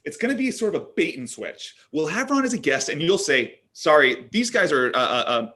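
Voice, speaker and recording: A man playing a brash, pushy, crass tech executive in his thirties, pitching like an overblown salesman in a comedy table read. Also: big pitch swings, a little fast, pushed and bright. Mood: pushy, callous. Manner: overblown salesman patter, dramatic exclamations.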